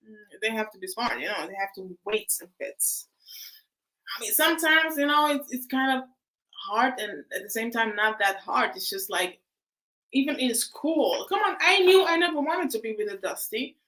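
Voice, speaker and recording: A woman's voice: fairly high pitch, expressive, moderate pace, sharp tone, low-quality recording. A woman host, chatting casually on a video call, often laughing and restarting her words. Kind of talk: casual live chat.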